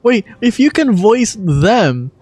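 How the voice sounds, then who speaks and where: Animated, sweary amateur gaming commentator. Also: medium pitch, slow pace, husky, no room sound. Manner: sweary, irreverent. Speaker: video creator voice acting game lines. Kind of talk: casual gaming commentary and amateur character reading.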